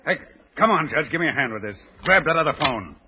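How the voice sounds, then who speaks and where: A man's voice: on the high side, very animated, quick pace, a crowd in the background, background noise. A male actor playing a tough, slangy New York gangster boss with an American accent in an old radio drama. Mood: eager, excited. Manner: brash, slangy, blustering. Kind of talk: 1930s-40s American radio drama acting. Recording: muffled, dull vintage radio recording.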